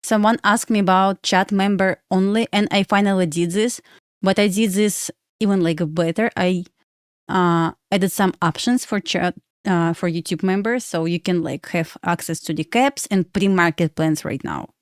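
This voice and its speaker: A woman's voice: mid-range voice, normal speed, clear, resonant tone, no room sound. Young Belarus-born female streamer, English, casual and chatty, dry voice. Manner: chatty, addresses viewers as guys, frequent uh fillers, non-native phrasing. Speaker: livestream host and day trader. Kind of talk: casual livestream chat with viewers.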